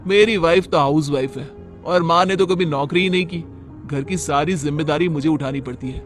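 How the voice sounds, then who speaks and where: A man's voice: on the high side, a little fast, pitched below the performer's own voice, lightly acted. Male friend , voice-acted by a man: young, chummy, a little envious, cartoon story dialogue over music. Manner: chummy, casual. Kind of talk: cartoon moral story acting.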